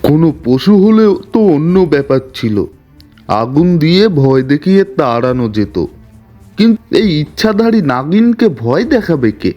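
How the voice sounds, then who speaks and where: A man's voice: on the high side, very animated, moderate pace, warm tone, muffled recording, soft background music. A man playing a cautious, anxious villager in a cartoon story, earnest and a little bossy. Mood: worried, wary. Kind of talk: Bengali cartoon story voice acting.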